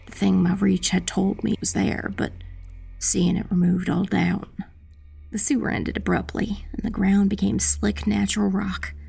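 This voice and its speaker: A woman playing a determined witch who narrates her own dark fantasy audiobook tale in a measured, dramatic, husky and creaky voice. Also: lowish voice, exaggerated voice. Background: music.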